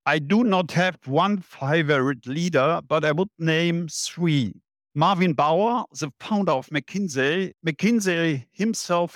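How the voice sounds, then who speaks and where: A man in his seventies, a guest in an interview, laying out his points one by one in a measured way. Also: fairly high pitch, a bit bright, no room sound, studio quality. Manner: measured, listing points in order. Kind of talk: interview answers.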